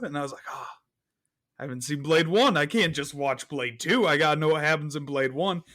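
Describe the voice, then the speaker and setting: Male podcast guest, lighter higher voice, casual anecdotal chat. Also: fairly bright tone.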